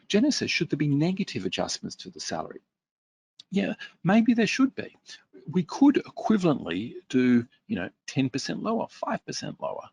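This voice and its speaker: A man leads a workshop-style webinar, picking up viewer questions and talking them through in a relaxed, conversational way. His voice is deeply gravelly and a little husky, with a lively pitch that rises and falls often. The recording is dry and close to the mic. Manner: reads out viewer comments and responds conversationally with fillers. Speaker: webinar presenter.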